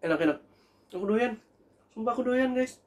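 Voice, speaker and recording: A young man in a casual food review vlog, talking in a chatty, animated way to his viewers while seated at a table in a small room. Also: extremely high pitch, fairly quick. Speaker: food vlogger.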